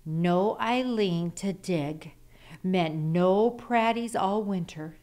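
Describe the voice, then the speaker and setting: A woman reading a children's picture book aloud as an expressive storyteller. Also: on the low side, takes it slowly.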